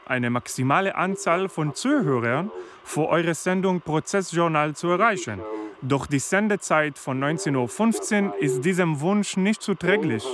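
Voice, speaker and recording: An actor playing a formal, courteous male listener reading out his polite letter of complaint in a radio play. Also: on the high side.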